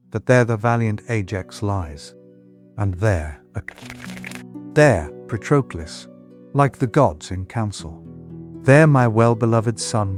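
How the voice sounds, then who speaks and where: A man's voice: fairly low pitch, darkish tone, no echo, clean studio sound. An actor playing a grave, long-winded old warrior king, recounting war in stately oratory for an epic audiobook drama. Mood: grave, sorrowful.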